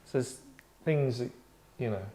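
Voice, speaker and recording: Man leading an actors' read-through, casual and halting, rambling, deadpan comic asides. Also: mid-range voice. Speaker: table read leader among actors.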